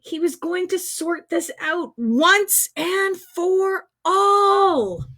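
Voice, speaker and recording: A woman with a high voice tells stories to young children at a slow, deliberate pace. She is playful and very expressive, talking straight to her little listeners as the host of a read-aloud. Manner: playful, exaggerated expression, addressing children directly. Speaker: children's storyteller and singer hosting a livestream.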